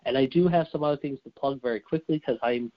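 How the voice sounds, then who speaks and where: A hoarse man whose voice is going raspy, co-hosting a wrestling show and giving blunt, heated opinions. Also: medium pitch, quick pace, dull audio. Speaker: co-host.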